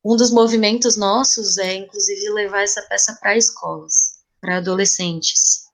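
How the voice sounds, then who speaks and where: A woman with a Brazilian Portuguese accent talks as a podcast guest. Her voice sits at an average pitch for a woman, and her delivery is casual and conversational. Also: fairly even intonation, moderate pace. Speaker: interview guest, playwright.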